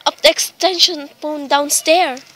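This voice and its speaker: A woman's voice: high voice, fairly even intonation, unhurried, fairly bright tone. A woman playing a nervous, demanding invalid wife in a dramatic radio play. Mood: terrified. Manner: imperious, dramatic, fretful.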